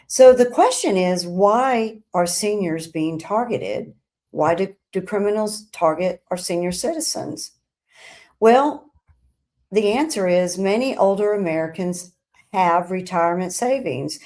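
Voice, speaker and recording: Slow, low-pitched woman narrating an explainer. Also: darkish tone. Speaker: host of a crime statistics show.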